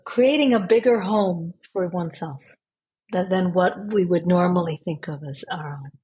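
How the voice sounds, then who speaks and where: A woman's voice: fairly low pitch, normal speed, a little mellow, slight background noise, low-quality recording. A woman born in Israel and raised in Switzerland, a podcast guest who talks thoughtfully and often repeats a word as she searches for it. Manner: thoughtful, with frequent repeated words. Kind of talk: casual interview.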